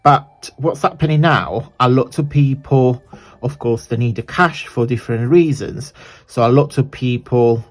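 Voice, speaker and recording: A man from southern Italy with an Italian accent and a croaky voice, hosting a chatty, rambling crafting tutorial. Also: medium pitch, unhurried. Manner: rambling, chatty, self-correcting.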